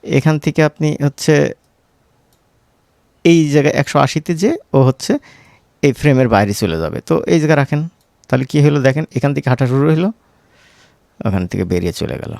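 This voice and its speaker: A man's voice: on the high side, expressive, moderate pace, gravelly, long pauses, darkish tone, dry recording, studio quality. A man with a Bangladeshi Bengali accent giving an informal tutorial, conversational and often checking in with short question tags. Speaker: tutorial instructor. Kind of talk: informal screen-recorded software tutorial.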